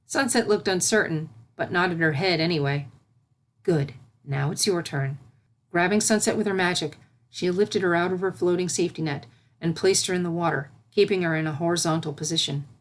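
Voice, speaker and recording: A woman's voice: lowish voice, fairly quick. A woman reading scripted story narration as the narrator.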